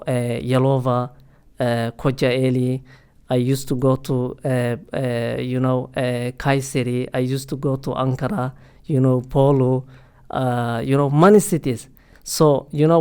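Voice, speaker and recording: A man guesting on a podcast, halting as he speaks in a middle-pitched voice. He leans on "you know" and "uh" over and over, keeping the chat casual and searching. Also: a little mellow, smooth. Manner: hesitant, heavy use of "you know" and "uh" fillers. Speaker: guest, international student office staff.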